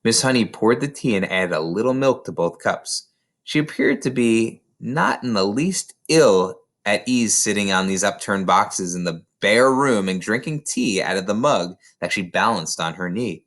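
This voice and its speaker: A man reads a children's novel aloud as an expressive storyteller. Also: on the low side, moderate pace. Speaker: narrator, reader of a children's novel.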